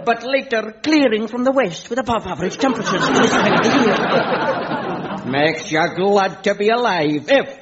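A man playing a gruff Scottish servant in a kilt, speaking broad dialect with a slow drawl. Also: high-pitched, expressive, a loud crowd, very noisy. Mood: gloomy. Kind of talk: broad sketch comedy with a live audience. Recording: dull lo-fi recording with faint audience.

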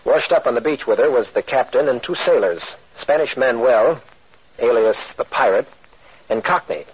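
Steady heroic captain, husky, firm, 1940s radio drama. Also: male voice, mid-range voice, normal speed. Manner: firm, matter-of-fact. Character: steady brave male adventurer captain. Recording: muffled old radio broadcast.

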